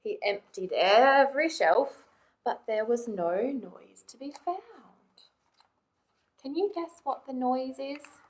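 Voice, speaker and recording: A woman reading a picture book aloud to young children in an expressive way, often turning to them with questions. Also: sits high, slow pace. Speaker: children's librarian and storytime presenter.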